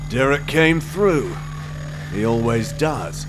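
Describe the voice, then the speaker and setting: Man acting as sly scheming survivor, animated intonation, drawn-out pace, insinuating, sci-fi radio play. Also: medium pitch, poor audio. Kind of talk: sci-fi audio drama.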